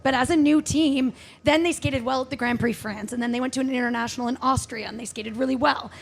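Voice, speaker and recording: High-pitched, creaky woman, noisy recording. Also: steady tone, fast talker. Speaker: podcast guest, figure skating coach. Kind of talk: casual live podcast talk. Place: live show before an audience. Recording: noisy live venue recording.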